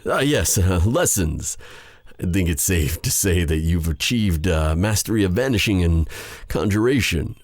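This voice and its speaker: Male actor as brooding fantasy sorcerer, husky breathy voice, slow formal halting delivery, bitter yet tender, intimate ASMR drama, very dry close sound. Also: low voice, expressive, no echo. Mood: tender, hesitant. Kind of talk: dramatic ASMR roleplay addressed to the listener.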